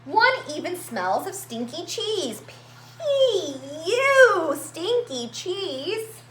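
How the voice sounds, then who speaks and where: A woman reading a picture book aloud to young children, with expressive, dramatic emphasis and drawn-out words. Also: very high voice. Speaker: children's story-time reader at a library. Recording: roomy echo, faint background noise.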